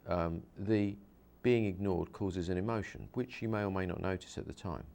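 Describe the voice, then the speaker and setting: A man's voice: low-pitched, normal speed, creaky, a little mellow. A man, a hypnosis practitioner, interviewing a guest and explaining his method earnestly, with many repeats and restarts.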